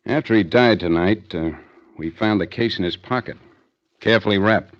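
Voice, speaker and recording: A man's voice: fairly low pitch, normal speed, natural voice. A man acting as a skeptical, hard-nosed police captain in an old-time radio thriller, brusque and commanding. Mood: stern. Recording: muffled vintage radio recording.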